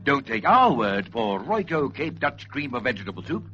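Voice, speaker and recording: A man's voice: mid-range voice, sing-song, normal speed, over music. A man reading a vintage radio commercial with a polished, emphatic sales delivery. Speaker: commercial announcer. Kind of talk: vintage radio advertising read. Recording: muffled old radio recording with hum.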